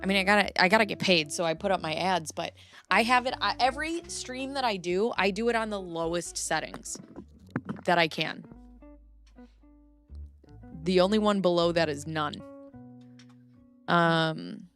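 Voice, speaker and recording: A woman hosts a live stream in a chatty, reactive way. She reads messages aloud and talks back to viewers by name. Her voice sits low for a woman. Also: moderate pace, long pauses, a bit bright, soft background music.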